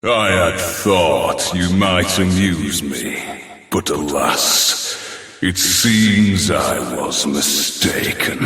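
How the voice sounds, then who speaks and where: An actor playing a proud, ancient superhuman being in a video game, testing mortals with a haughty, formal, menacingly amused manner. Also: male voice, low voice, a bit bright, exaggerated voice. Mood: mocking, amused. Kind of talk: scripted video game character acting. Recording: cavernous echo with long reverb.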